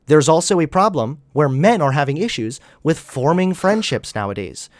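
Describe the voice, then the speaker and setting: A man's voice: on the high side, sing-song, moderate pace, no room sound, studio quality. Combative young man debating. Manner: combative, lecturing, talks over his opponent. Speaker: debate host. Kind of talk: heated online debate.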